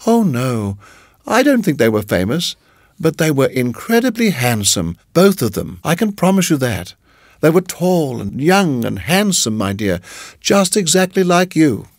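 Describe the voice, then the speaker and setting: A genteel older landlady played by a male narrator in a storybook reading. The voice is pitched up and lilts widely, dainty and gushing. She fusses and coaxes with sweet insistence. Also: big pitch swings, a little slow, no room sound, studio quality, full character voice. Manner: dainty, fussing, coaxing. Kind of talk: audiobook character voice.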